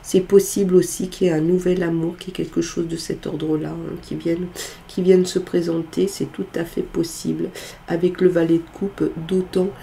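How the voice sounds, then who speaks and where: A woman's voice: fairly low pitch, level tone, normal speed, gravelly, mellow, a little hiss or hum. A woman with a cold reading tarot cards in a casual, hesitant way, with lots of filler sounds. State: has a cold, congested voice. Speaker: tarot card reader.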